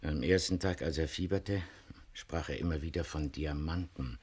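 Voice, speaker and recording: An actor playing a stolid older farmer in a radio play, speaking plainly and haltingly with a weight of guilt. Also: male voice, rumbling low, darkish tone, slightly rough. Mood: grave confession. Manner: deliberate, halting, plain-spoken. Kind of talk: 1970s German radio play acting.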